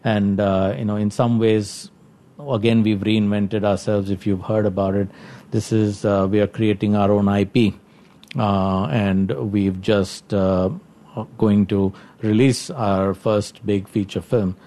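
A man from India with an Indian accent, an executive giving informal interview answers, halting and repeating himself as he thinks. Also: lowish voice, level tone, warm tone. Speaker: company executive being interviewed.

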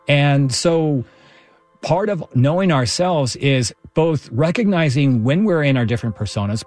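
A middle-aged man from Texas, a professor, talking thoughtfully as an interview guest, with measured phrasing and small restarts. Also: mid-range voice, darkish tone, no echo. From Texas, Houston. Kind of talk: interview guest, reflective storytelling.

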